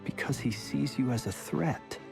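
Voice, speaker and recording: A man's voice: medium pitch, measured pace, gravelly, music in the background. A man playing a cool, reserved, analytical character in a video game, with a dry, precise delivery. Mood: cool, probing.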